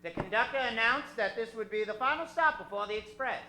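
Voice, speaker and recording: A woman's voice: on the high side, animated, fairly quick, bright, forceful tone, a little hiss or hum. A woman narrator telling an improvised story in old-time radio style. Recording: roomy, faint music behind.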